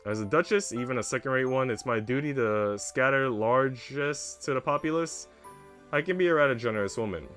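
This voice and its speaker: A man's voice: mid-range voice, fairly quick, fairly bright tone, faint music behind, lightly acted. A man reading the part of a generous young duchess in a visual novel, playful, teasing and a little grand. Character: teasing, generous young duchess, a woman wooing her lover.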